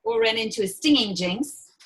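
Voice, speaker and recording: A woman playing a menacing, imperious witch villain in a script read, taunting with mock-sweet endearments and drawn-out words. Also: high-pitched, huge pitch swings, gravelly, full character voice. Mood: imperious, probing. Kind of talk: table read of a film script.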